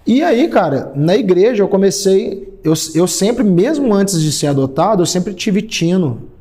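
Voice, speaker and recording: A man's voice: sits high, a little slow. A man in his thirties from São Paulo state, Brazil, a guest singer reminiscing in casual chat. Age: 30s. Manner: reminiscing, relaxed storytelling.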